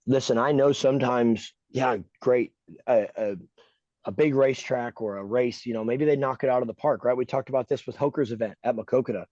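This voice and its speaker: A man's voice: medium pitch, steady tone, fairly quick, darkish tone, dry recording, muffled recording. Blunt, swearing man ranting on a podcast. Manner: blunt, emphatic ranting with profanity. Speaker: podcast co-host. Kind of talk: casual podcast talk.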